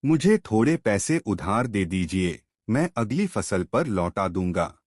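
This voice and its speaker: Storybook peasant, male narrator acting him, deferential, pleading, unhurried delivery, dry sound. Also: lowish voice, big pitch swings, darkish tone, dry recording. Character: poor, humble male farmer pleading for help.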